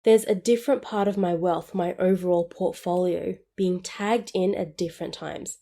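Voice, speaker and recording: A woman's voice: lowish voice, steady tone, moderate pace, dark tone. A woman in her twenties hosting a solo podcast, explaining things in an upbeat, friendly way. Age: 20s. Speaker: podcast host. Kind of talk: scripted solo podcast on personal finance.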